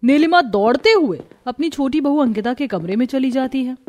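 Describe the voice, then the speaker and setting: A woman's voice: high-pitched, expressive, normal speed, darkish tone. A woman narrating a scripted moral story.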